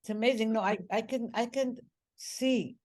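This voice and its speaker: A woman's voice: a little high, fairly even intonation, normal speed, a little mellow. Woman host, interview chat, encouraging, repeats words while thinking. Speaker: podcast co-host.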